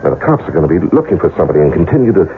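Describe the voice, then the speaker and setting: Radio drama, 1980s: reluctant male conspirator, deep register, wary and argumentative, narrow-band broadcast sound. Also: big pitch swings, very fast. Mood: firm, reasoning. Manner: cautious, argumentative, exasperated. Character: wary, skeptical male lover reluctantly plotting a murder. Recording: dull, noisy old radio broadcast recording.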